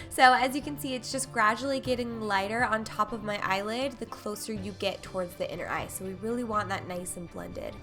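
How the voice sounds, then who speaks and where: Young woman beauty vlogger, stuffy cold voice, bright and chatty tutorial read, light music bed. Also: fairly high pitch. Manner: chatty, upbeat product walkthrough. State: congested from a cold. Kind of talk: makeup tutorial voice-over. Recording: faint music underneath.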